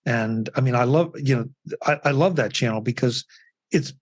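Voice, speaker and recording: A man's voice: medium pitch, moderate pace, no room sound, studio quality. A man hosting a casual panel chat over a video call, calling on each guest in turn. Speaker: host.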